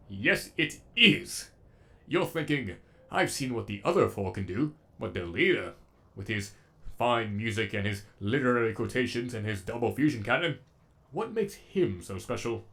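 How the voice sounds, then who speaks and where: A man's voice: lowish voice, creaky, fairly bright tone, exaggerated voice. A man voicing a sadistic, erudite robot villain with an eloquent, menacingly polite delivery, as in a comic dub. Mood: silky, menacing.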